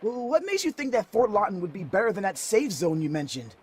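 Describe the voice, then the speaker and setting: A woman playing a youthful, nervous, friendly kid survivor in an audio drama, chatty, excitable and prone to stammering. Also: medium pitch, fairly quick, husky. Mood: explaining. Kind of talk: fan audio drama acting.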